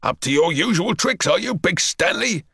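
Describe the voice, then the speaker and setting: A man voicing a brisk, indignant but protective male PE teacher in a storybook, with a hectoring manner. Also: mid-range voice, lively intonation, no room sound, clean studio sound. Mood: scornful scolding. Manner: loud, scolding, hectoring.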